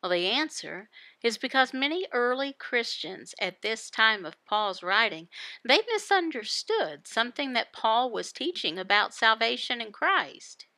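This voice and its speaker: A woman voicing a Bible devotional, explaining it phrase by phrase in a deliberate manner with pauses. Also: fairly high pitch, very animated, pushed and bright, no room sound. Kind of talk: Bible teaching, voiced devotional.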